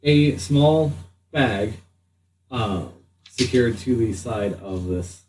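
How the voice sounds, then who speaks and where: A man improvising narration as the game master of a tabletop game, often pausing mid-sentence. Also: medium pitch, expressive. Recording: noisy room, distant mic.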